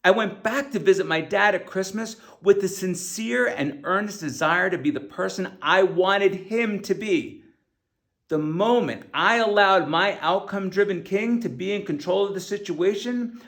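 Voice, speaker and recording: A man reads his own first-person memoir as scripted audiobook narration, in an earnest, deliberate manner with emphatic stresses. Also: high voice, lively intonation, gravelly, fairly bright tone. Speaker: author reading own audiobook.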